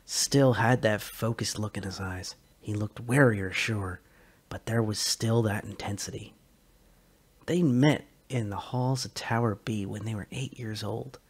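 A man's voice: lowish voice, fairly even intonation, unhurried, husky. A man reading a short story aloud as narrator, with theatrical, emphatic phrasing and the odd stumble.

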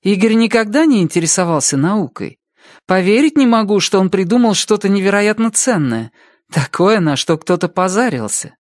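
A woman's voice: medium pitch, very animated, normal speed, darkish tone, no room sound, clean studio sound, pitched above the performer's own voice, close to an everyday voice. A woman voicing a wary, firm mother who doubts what she is told, in an audiobook reading. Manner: skeptical, firm.

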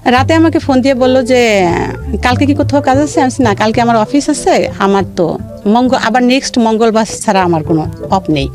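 Female interview guest, Bangladeshi accent, higher-pitched, detailed and halting personal storyteller, clear music bed underneath. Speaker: guest telling own life story. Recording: music playing behind the voice. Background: background music.

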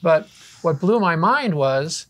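An older man in his seventies with a somewhat high voice hosts a roundtable. He talks conversationally and wanders through anecdotes, recorded on a lapel mic in a studio. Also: animated, slow pace, a bit bright. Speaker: roundtable host. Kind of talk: conversational panel hosting. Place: drum studio, indoors. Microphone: lavalier mic.

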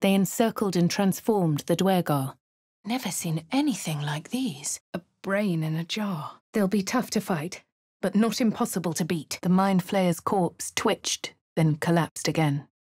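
A posh English game heroine: low, crisp, dryly sardonic, close and dry studio sound. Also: female voice, measured pace, darkish tone, no echo. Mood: wry. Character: posh young female adventurer, dry and wry. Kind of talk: video game character voice lines. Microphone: close studio mic.

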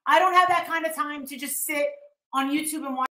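A woman's voice: high-pitched, a little fast, bright, forceful tone, reverberant. A middle-aged American woman ranting casually on a livestream in a blunt, scornful, sweary manner. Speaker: YouTube host. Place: living room at home, indoors.

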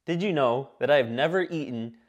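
A man in his twenties from Michigan hosting a comedy talk show sketch in a small studio, irreverent and excitable, swearing a lot. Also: medium pitch, fairly quick, muffled recording. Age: 20s. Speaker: host. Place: small indoor studio set.

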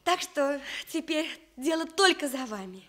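A woman's voice: high voice, lively intonation, measured pace, fairly bright tone. An actress playing a principled, impassioned woman journalist, earnest and emphatic in the style of Soviet radio theatre. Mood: resolute appeal.

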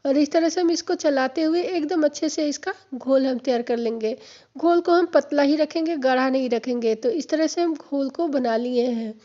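A woman with a high-pitched, mellow voice talks viewers through a home recipe, patiently repeating each step. Her throat sounds slightly hoarse. The audio is dull and lo-fi, as if from a phone held over a kitchen counter. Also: normal speed. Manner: friendly, instructive, repeats steps. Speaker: home cooking channel host. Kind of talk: step-by-step cooking tutorial.

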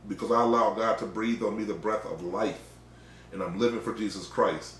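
A man's voice: on the low side, fairly even intonation, fairly quick, slight background noise. Echoey American preacher man. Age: middle-aged. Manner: exhorting, emphatic repetition. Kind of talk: informal sermon. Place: small indoor room. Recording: echoey, roomy sound.

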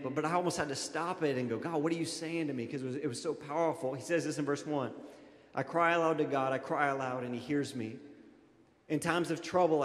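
A man in his thirties preaching a conversational sermon as a pastor, informal and animated, addressing his congregation directly into a handheld microphone. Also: a little high. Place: large auditorium stage, indoors. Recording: echoey hall with long reverb.

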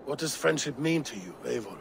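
A gravelly, suspicious Viking warlord. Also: male voice, mid-range voice, animated, noisy background. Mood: solemn. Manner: grave, brooding, deliberate phrasing. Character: weary, suspicious Viking warlord, bearded and middle-aged. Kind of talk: dramatic video game acting.

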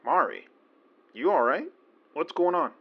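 A man plays a weary sleuth in a horror audio drama. He is skeptical and speaks slowly at a medium pitch. The recording is slightly dull. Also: very animated, breathy edge, heavily acted. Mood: wary. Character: Donner, weary, skeptical male supernatural sleuth. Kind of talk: naturalistic audio drama dialogue.

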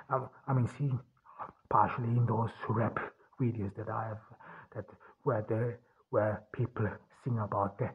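A middle-aged man from Austria with a deeply husky, breathy voice that sits a little low. He talks through his ideas alone, haltingly, stopping and restarting often. The sound is thin and tinny, as if over a phone line. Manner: halting, many restarts and fillers. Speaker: podcast host. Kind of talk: solo spoken monologue.

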